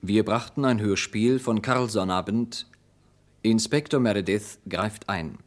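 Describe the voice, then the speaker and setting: A man's voice: lowish voice, measured pace. A man working as a radio announcer, formally reading the cast credits of a play.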